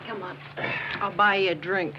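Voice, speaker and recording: An actress playing a confident, assured sales manager, in a 1980s training film. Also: female voice, medium pitch, fairly even intonation, normal speed, close to an everyday voice. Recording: muffled film soundtrack with hiss.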